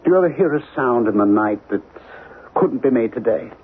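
An actor playing an obsessed, frightened husband in a 1970s radio drama, speaking earnestly and urgently with dramatic pauses. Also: male voice, mid-range voice, expressive, breathy edge, phone-quality sound. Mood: probing, intense. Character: obsessed, frightened husband convinced of alien invasion. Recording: noisy, band-limited 1970s radio broadcast.

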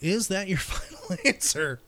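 A man's voice: high-pitched, animated, measured pace, very noisy. A man co-hosting a podcast, posing trivia questions in an upbeat quizmaster style. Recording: music behind the voice. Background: music.

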